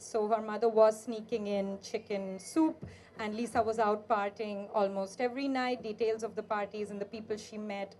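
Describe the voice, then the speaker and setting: A woman's voice: on the high side, a little mellow, slight background noise. Chatty woman moderator summarizing. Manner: brisk summarizing, chatty with uh and kind of. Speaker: interviewer and moderator. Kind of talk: onstage book interview.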